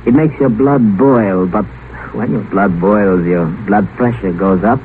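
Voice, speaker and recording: An actor playing a cool, domineering physician in an old radio drama, precise, condescending and taunting. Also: male voice, on the low side, unhurried, natural voice. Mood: taunting, menacing. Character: cool, sardonic, domineering physician to a rich man. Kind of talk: 1950 radio drama acting. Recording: noisy, tinny old radio broadcast.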